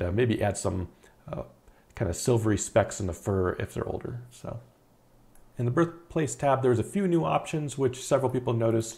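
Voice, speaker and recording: A man in his thirties, an indie game developer, talking casually through a screen-recorded devlog with a relaxed, rambling manner and many fillers. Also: lowish voice, vocal fry. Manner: relaxed, chatty, frequent uh fillers and repeated words. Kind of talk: casual video devlog narration.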